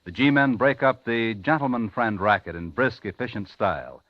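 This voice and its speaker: A man's voice: fairly low pitch, expressive, normal speed, no echo. A man with an American accent reading a punchy, polished 1950s radio promo as a network announcer. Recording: dull 1950s radio recording.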